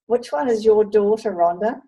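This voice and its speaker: A woman's voice: medium pitch, moderate pace, dark tone, breathy edge, poor audio. A woman from New Zealand with a New Zealand accent, hosting an interview while showing slides and chatting with frequent ums. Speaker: host presenting a netball travel program.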